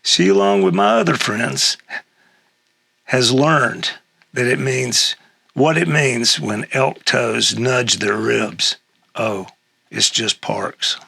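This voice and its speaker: An older man with an American accent and a gravelly, husky voice, reading a humorous personal essay aloud in a halting way. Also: mid-range voice, bright, forceful tone, dry recording. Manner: halting, with throat clearing and chuckles.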